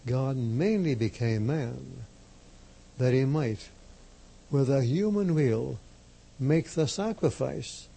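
Slow gravelly priest guy, dark husky voice, slovak first language, hammers and repeats his points. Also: mid-range voice, expressive. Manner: emphatic, measured, repeats key phrases. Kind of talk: slow deliberate sermon.

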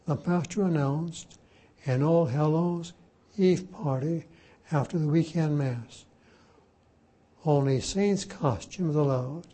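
A man's voice: fairly high pitch, mellow, breathy edge. An older man, a Jesuit priest whose first language was Slovak, teaching a class informally and spelling words out letter by letter. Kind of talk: informal lecture and Q&A.

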